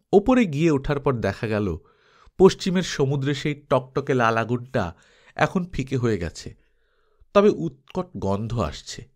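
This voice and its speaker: A man narrating an adventure story as an audiobook, in an expressive, suspenseful, dramatic style. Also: medium pitch.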